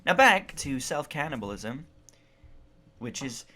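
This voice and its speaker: A man bantering casually as a co-host, exasperated and quick to swear. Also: medium pitch, big pitch swings, sharp tone. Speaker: co-host of a visual novel rant show. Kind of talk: casual banter between friends.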